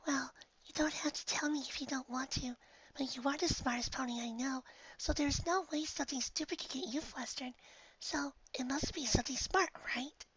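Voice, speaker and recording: A man voicing a timid, tender young female pegasus pony in a soft, breathy, hesitant falsetto. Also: moderate pace, highs cut off. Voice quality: breathy, near-whispering falsetto.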